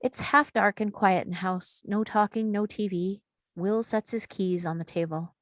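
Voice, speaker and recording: A woman's voice: medium pitch, steady tone, moderate pace, no echo. A woman reading scripted screenplay action and scene headings as a narrator at a table read. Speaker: stage directions reader.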